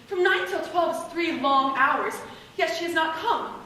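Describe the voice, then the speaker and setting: A woman's voice: very high-pitched, expressive, measured pace, sharp tone, heavily acted. A woman playing an impatient, lovesick young girl in a Shakespeare play, theatrical, eager and pleading. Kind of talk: Shakespeare stage acting. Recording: echoey hall, distant mic, faint noise.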